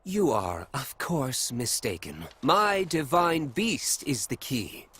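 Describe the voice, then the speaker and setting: A man's voice: medium pitch, very animated, slow pace, bright, forceful tone, husky, full character voice. A man voicing an arrogant, vain young bird warrior in a video game cutscene, with a sneering, theatrical delivery. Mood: scornful.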